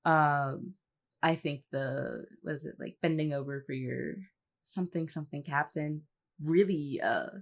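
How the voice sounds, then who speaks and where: A woman's voice: sits low, measured pace. A woman chatting casually as a podcast co-host, with a dry, teasing manner. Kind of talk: casual chat.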